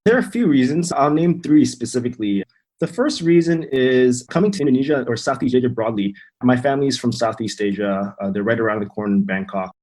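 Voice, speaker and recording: A man from Thailand, a company co-founder and CEO, giving reflective, measured interview answers. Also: medium pitch, darkish tone.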